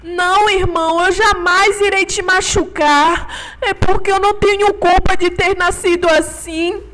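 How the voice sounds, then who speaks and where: A woman's voice: very high voice, steady tone, unhurried, fairly bright tone. A woman with a Brazilian accent voicing a timid, lonely, odd-looking baby male dinosaur who pleads and stammers. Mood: pleading. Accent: Brazilian Portuguese. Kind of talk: game roleplay voice acting.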